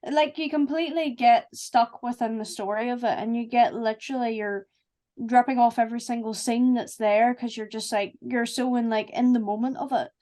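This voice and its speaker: A woman's voice: on the high side, moderate pace. A woman, chatting casually and effusively as a voice actor. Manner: effusive, animated storytelling with acted-out asides. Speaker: voice actor and executive producer. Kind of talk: casual cast chat.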